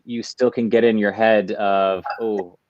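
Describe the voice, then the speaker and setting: A man's voice: on the low side, flat delivery, a little slow, dry recording. A man from the United States, a guest in a podcast interview, talking in a reflective, easygoing way. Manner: reflective, easygoing, laughs often. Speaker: guest, artist and entrepreneur. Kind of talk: interview conversation. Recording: dull, dry lo-fi remote audio.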